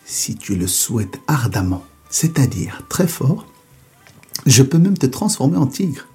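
A man voicing a forest spirit with a deep, slow, soothing voice. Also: big pitch swings, dark tone, breathy edge, soft background music, exaggerated voice. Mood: calm.

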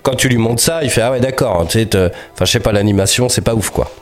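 A man's voice: medium pitch, fast talker. A man with a cold, chatting casually and rambling about films and cartoons as podcast co-host. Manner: lively, rambling, slangy. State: has a cold.

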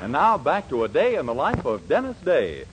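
A man's voice: on the high side, wildly swooping pitch, normal speed, a crowd in the background, noisy background. A man announcing a scripted 1940s radio show as its narrator. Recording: old radio recording with music. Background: music.

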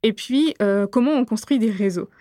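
A young woman from Réunion, whose first language is Gujarati, answering interview questions thoughtfully with many pauses and fillers. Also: fairly high pitch, dark tone, no echo, clean studio sound. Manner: thoughtful, frequent hesitations. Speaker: guest.

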